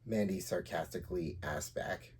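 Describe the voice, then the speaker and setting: A man with a fairly low voice hosts a story podcast. He talks casually as a writer presenting his own work, somewhere between chatting and reading aloud. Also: moderate pace, a little mellow, slightly rough.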